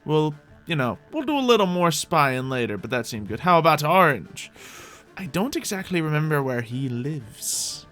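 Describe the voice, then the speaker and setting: A man's voice: fairly high pitch, sing-song, moderate pace, fairly bright tone. Rambling male gamer over music. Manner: rambling, repeats his own phrases. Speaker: host of a Minecraft roleplay video. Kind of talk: casual gameplay commentary, talking to viewers in light roleplay.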